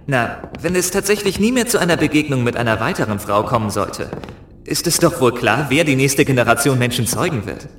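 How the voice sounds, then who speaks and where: A man's voice: mid-range voice, lively intonation, brisk, a little hiss or hum, close to an everyday voice. A man playing a cocky, flirtatious younger spaceship crewman in a science-fiction audio drama, boastful and teasing. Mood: cocky, scheming. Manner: boastful, teasing, smooth-talking. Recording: echoey room, music behind.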